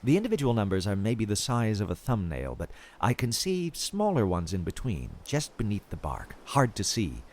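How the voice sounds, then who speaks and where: Casting: male actor as an entranced field researcher losing his grip, hugely animated pitch, halting audio-fiction log delivery. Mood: halting, distracted. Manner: dramatic, halting, wondering delivery. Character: eerily fascinated male field researcher slipping into madness.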